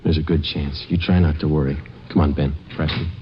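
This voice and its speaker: Stoic police sergeant, very low voice, clipped deadpan delivery, 1950s radio drama, muffled vintage recording. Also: male voice, a little fast. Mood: calm, businesslike. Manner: deadpan, clipped, matter-of-fact. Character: stoic male police sergeant, terse and steady. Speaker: lead actor and narrator. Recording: dull old radio recording with music.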